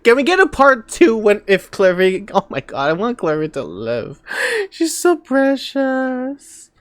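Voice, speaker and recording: A woman giving casual, exclamatory reactions to a game as a streamer, expressive and prone to swearing. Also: a little high, a little slow, no echo. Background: game music.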